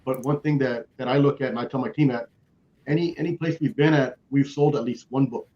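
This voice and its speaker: A man's voice: mid-range voice, fairly even intonation, brisk, mellow. A man speaking as a panelist in a motivational way, emphatic and repeating himself for weight. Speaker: children's author, panelist. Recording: dull, lo-fi call audio.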